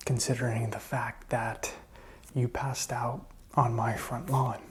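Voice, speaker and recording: A man playing a wary but helpful apocalypse survivor in a whispered, soft-spoken ASMR roleplay, breathy, hesitant and conversational. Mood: awkward, mildly offended. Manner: hesitant, low-key, conversational. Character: wary, helpful man sheltering a stranger during zombie apocalypse.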